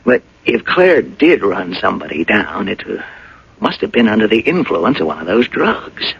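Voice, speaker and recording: An actor playing a troubled, earnest older father in a radio drama, speaking haltingly. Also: lowish voice, sing-song, vocal fry, fairly bright tone, breathy edge, full character voice. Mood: reluctant, troubled. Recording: dull old radio broadcast.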